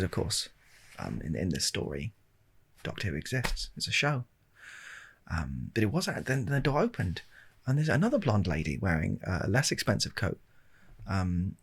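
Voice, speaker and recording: A British man telling a rambling comic ASMR story in a deadpan, hesitant way, with a husky, gravelly voice, close to the microphone. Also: low-pitched. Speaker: video storyteller. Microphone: close handheld-recorder style microphone.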